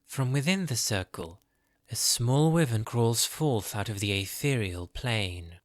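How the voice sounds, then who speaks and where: A man's voice: mid-range voice, animated, measured pace, dry recording. A man narrating a scripted fantasy story as its narrator.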